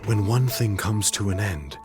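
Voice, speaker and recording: A man voicing a gentle, courteous, melancholy traveler in a video game, polite and reflective. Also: fairly low pitch, animated, unhurried, music in the background. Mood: wistful. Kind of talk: English video game dub acting.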